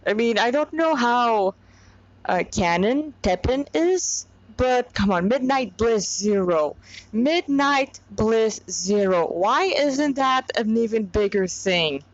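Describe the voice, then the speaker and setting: Slow-paced woman, dull lo-fi recording. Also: on the high side, expressive, dry recording. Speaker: fan fiction author and reader. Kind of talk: casual chat and informal story reading.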